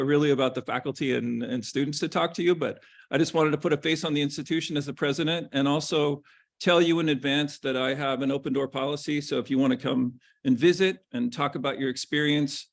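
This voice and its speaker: A man speaking as a university president, welcoming admitted students in a relaxed, halting way with many ums, over a video call. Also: on the high side, vocal fry.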